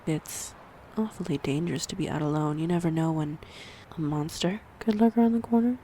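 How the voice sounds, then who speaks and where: A woman playing a snide, predatory vampire in an audio roleplay, mocking and teasing, with a breathy voice that slips into whispers. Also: low voice, a little fast, warm tone, a little hiss or hum, close to an everyday voice. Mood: menacing, taunting. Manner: mocking, teasing, theatrically menacing. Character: snide, predatory female vampire toying with prey. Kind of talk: audio roleplay acting addressed to the listener.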